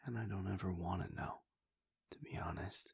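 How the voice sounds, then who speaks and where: Brooding vampire prince, played by a man: husky hushed whisper, dry close sound. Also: low voice, fairly even intonation, normal speed, dry recording, heavily acted. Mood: wistful. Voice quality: gravelly, breathy. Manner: soft whispering, hesitant restarts. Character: brooding male vampire prince, conflicted and introspective. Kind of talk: audio roleplay monologue.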